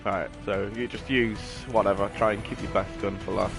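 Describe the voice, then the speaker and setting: A man's voice: fairly low pitch, steady tone, normal speed, gravelly, over music. A British man giving casual, rambling, sweary gameplay commentary. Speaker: gaming streamer.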